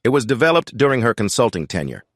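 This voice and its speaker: A man acts out a slick male business rival in an audio drama. He sounds self-satisfied and boastful, with lively swings in pitch and a dry, close sound. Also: quick pace, dry recording. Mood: smug. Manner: smooth corporate jargon, boastful. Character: smug male businessman rival, polished and evasive.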